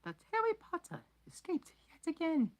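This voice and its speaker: A woman voicing a small, anxious male house-elf with a very high, squeaky voice who speaks of himself in the third person. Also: huge pitch swings, normal speed, heavily acted. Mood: urgent pleading. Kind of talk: amateur audiobook reading.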